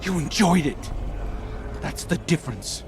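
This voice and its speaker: A man's voice: fairly high pitch, sing-song, measured pace, noisy background. An actor playing a defiant, brooding young man at war with his darker self, earnest and terse, in a video game. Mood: resolute. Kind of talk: dramatic video game acting. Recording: music under the voice.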